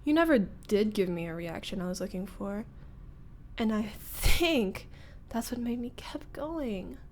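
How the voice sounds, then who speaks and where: Female actor as young ex-bully roommate, needling yet nervous; intimate ASMR drama, breathy, drawn-out pacing, slight background noise. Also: mid-range voice, animated, darkish tone, natural voice. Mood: wistful, confessional. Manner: halting, breathy, drops into whispers, nervous chuckles and sighs. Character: teasing young woman, former school bully turned nervous roommate. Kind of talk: intimate ASMR-style roleplay monologue.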